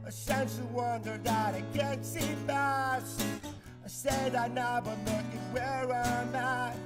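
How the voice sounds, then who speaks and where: A young Ecuadorian man singing a pop punk song live in a radio studio, holding his lines long. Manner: sings high with sustained held lines. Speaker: musician performing live. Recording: loud acoustic guitar under the voice.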